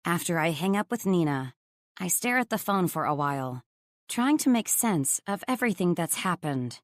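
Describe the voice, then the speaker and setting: A woman's voice: on the low side, sing-song, moderate pace, dry recording, studio quality. A woman reading first-person romance audiobook narration from a script.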